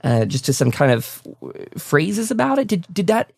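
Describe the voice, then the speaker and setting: A man's voice: high-pitched, big pitch swings, moderate pace, gravelly, no echo, studio quality. A man hosting a casual interview show, talking in an enthusiastic, effusive way. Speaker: talk show host.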